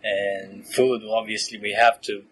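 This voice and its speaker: Podcast guest: twenties man from Romania, lowish voice, easygoing and talkative, slight background hiss. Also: unhurried, rough recording. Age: 20s. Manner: relaxed, chatty, laughs often, many fillers. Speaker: podcast guest, PhD student. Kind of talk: casual interview answers.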